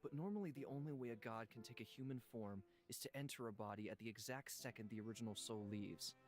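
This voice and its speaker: An actor playing a gentle ancient god living in a human body, speaking in a measured, reflective way for a visual novel. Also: male voice, medium pitch, close to an everyday voice. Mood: solemn. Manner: measured, solemn, reflective storytelling. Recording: music behind the voice. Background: instrumental music.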